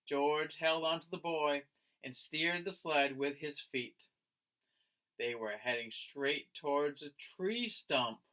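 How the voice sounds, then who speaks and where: A man, a teacher, reading a picture book aloud to young children in an expressive storytelling style. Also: a little high. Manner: expressive, slow, addressing listeners as friends.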